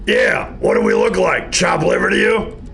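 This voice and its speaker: An actor playing a big, brash, blunt soldier in an audio drama. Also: male voice, sits high, huge pitch swings, moderate pace, vocal fry, noisy background, heavily acted. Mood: scornful.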